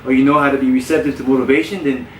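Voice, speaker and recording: A man who grew up in South Florida, a personal trainer telling his story in a relaxed, candid way with frequent restarts. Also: medium pitch, racing, slight background noise. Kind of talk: casual podcast interview. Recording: roomy, distant mic with faint ambience.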